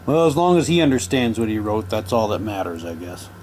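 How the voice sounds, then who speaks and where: A man giving halting, low-key, wry commentary as he casually unboxes items and reads captions aloud. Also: very animated, noisy background. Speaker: unboxing video host.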